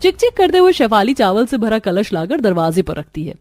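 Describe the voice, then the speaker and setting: A woman's voice: medium pitch, very animated, a little fast, darkish tone, soft background music. A woman narrating a scripted story in a dramatic storytelling manner.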